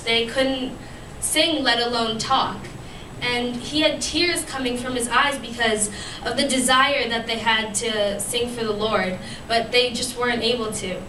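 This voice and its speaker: A young woman giving an earnest church devotional to a fellowship, with many ums and restarts, her voice still worn from having lost it. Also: a little high. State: recovering from a lost voice. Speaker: youth speaker at church fellowship. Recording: echoey room, distant mic, noisy.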